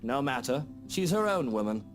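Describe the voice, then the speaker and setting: An actor playing a haughty upper-class British husband in a visual novel game, condescending and sardonic, with a sneering drawl. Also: male voice, mid-range voice, big pitch swings, normal speed, close to an everyday voice. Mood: cool suspicion. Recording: guitar music behind the voice.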